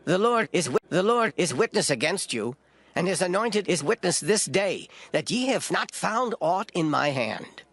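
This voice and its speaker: An actor playing an old, gray-headed prophet, solemn, stern and declamatory, in a dramatized scripture reading. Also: male voice, high voice, sing-song, normal speed, fairly bright tone, heavily acted. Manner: declamatory, grave.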